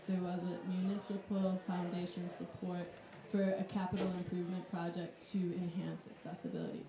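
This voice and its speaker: A woman's voice: lowish voice, background noise. A woman hosting a live artist talk in a club, nervous and self-deprecating, with lots of fillers. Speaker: host. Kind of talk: casual live panel moderating. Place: club room with audience. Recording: echoey room, distant mic, crowd.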